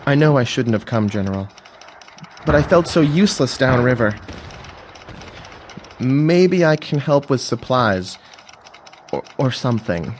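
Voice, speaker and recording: An actor playing an earnest young blinded officer in an audio drama, formal, articulate and heartfelt. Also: male voice, mid-range voice, lively intonation, measured pace, pauses often, a little hiss or hum, close to an everyday voice. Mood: eager, dejected. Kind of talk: full-cast audio drama acting.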